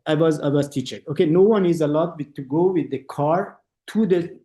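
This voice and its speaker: Halting male architect in a roomy space. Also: on the high side, mellow. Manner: halting non-native English, many restarts, acts out quoted dialogue. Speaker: architect and interview guest. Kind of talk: conversational interview. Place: lives in Austria.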